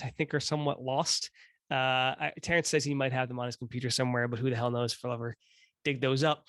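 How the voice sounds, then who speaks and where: A man's voice: mid-range voice, no echo, clean studio sound. A man around thirty hosting a podcast, talking casually in a rambling, joking and self-deprecating way, cursing now and then. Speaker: podcast host.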